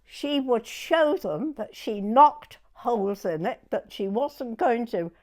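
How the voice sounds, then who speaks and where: Elderly southern English woman, lively high-pitched voice. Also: slow pace. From southern England. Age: 90s. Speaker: oral history interviewee. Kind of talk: personal reminiscence in conversation.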